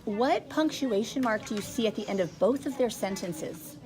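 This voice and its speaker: A woman teaching young children in a classroom, giving clear directions and checking understanding with short questions. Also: fairly high pitch, expressive, normal speed, a little mellow. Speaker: elementary school teacher. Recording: distant mic in noisy classroom.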